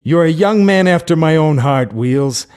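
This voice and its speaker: An actor playing a shrewd, money-minded racing backer in a video game, with a demanding, businesslike delivery. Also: male voice, fairly high pitch, animated, a little slow, a little mellow, smooth. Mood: scheming. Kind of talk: scripted video game character dialogue.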